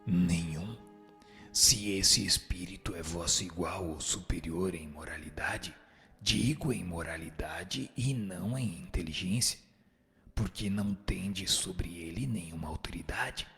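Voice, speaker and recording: A man's voice: low voice, a little slow, fairly bright tone. A man with a Brazilian accent playing a solemn, instructive spirit in a radio drama, answering in formal language. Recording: music under the voice. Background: background music.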